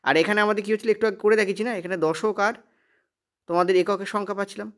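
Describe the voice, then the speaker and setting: Fast, high-voiced man teaching. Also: steady tone, fairly bright tone. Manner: emphatic repetition with frequent check questions. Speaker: maths teacher. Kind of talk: exam-prep lesson, explaining step by step.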